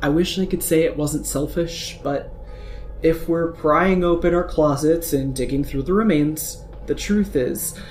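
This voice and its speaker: A performer voicing a smug, scheming alien troll girl in a fan audio drama, talking in a slow, teasing, knowing way. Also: mid-range voice, darkish tone, slight background noise, close to an everyday voice. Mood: calm, probing.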